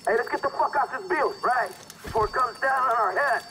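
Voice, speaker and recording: Clear street noise sits behind an urgent American heist crewman in a video game. His voice is very high for a man, bossy and streetwise. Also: brisk, pushed and bright, heavily acted, low-quality recording.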